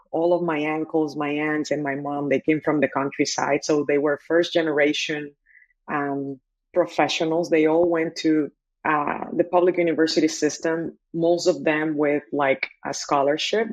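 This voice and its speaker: Woman, animated interview storytelling. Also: sits low, poor audio. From Dominican Republic. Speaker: guest, tech entrepreneur.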